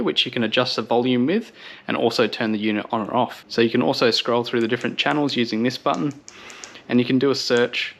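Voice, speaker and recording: An Australian man giving a casual product review to camera in an upbeat, approving manner. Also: medium pitch.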